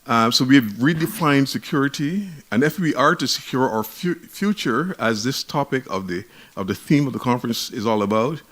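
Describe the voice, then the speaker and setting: A man with a Barbadian accent giving an academic conference lecture in a measured, emphatic way, often restarting his words. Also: mid-range voice, lively intonation, fairly bright tone. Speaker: conference speaker.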